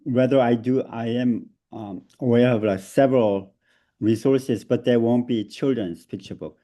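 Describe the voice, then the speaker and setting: A man from South Korea with a Korean accent, presenting an online seminar over a video call, laughing often and pausing on fillers. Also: mid-range voice, steady tone, mellow.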